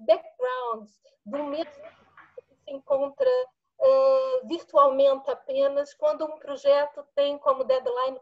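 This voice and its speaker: Woman, Latin American accent, high-pitched and very expressive, asking a question over a muffled call line. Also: vocal fry. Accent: Latin American Portuguese. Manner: animated, laughs between phrases. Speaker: audience member asking a question. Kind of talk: webinar question.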